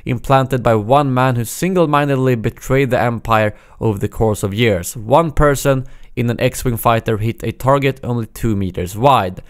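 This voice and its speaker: A man's voice: mid-range voice, normal speed, few pauses, lightly acted. A Swedish man reading as a stern imperial admiral. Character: stern male imperial admiral, commanding officer.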